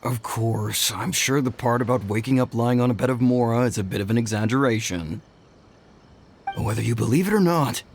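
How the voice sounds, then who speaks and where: A man's voice: medium pitch, close to an everyday voice. A man voicing a chatty, gossipy townsman spreading rumors in a video game. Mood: persuasive. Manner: chatty, conspiratorial. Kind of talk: game voice acting. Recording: game audio with faint music.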